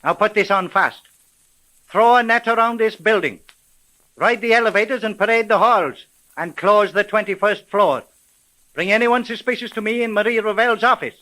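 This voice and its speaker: A man playing a wisecracking, commanding homicide sergeant in a 1930s radio drama, with colloquial, brisk speech. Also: high voice, pushed and bright, rough recording. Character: gruff, wisecracking male police homicide sergeant.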